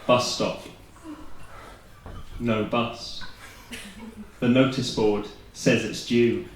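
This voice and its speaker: A man's voice: medium pitch, steady tone, very slow, a little hiss or hum. A Welsh man in his twenties performing spoken word poetry at a slam, with dry, self-deprecating humor between pieces. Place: live performance venue. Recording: very echoey room recorded from a distance.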